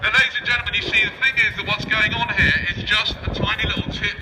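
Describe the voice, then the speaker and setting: A man's voice: sits high, fairly even intonation, fast talker. A man giving an improvised, provocative street speech, cheekily firing rhetorical questions at passers-by through a megaphone. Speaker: street protester and speaker. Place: outdoors on a busy city street. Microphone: megaphone outdoors, picked up by a camera at a distance. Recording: distant megaphone speech, noisy windy street.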